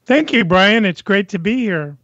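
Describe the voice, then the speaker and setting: High-pitched older man, dry muffled recording. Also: lively intonation, normal speed, a bit bright, dry recording. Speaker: podcast guest, composer and musician. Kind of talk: conversational interview answers.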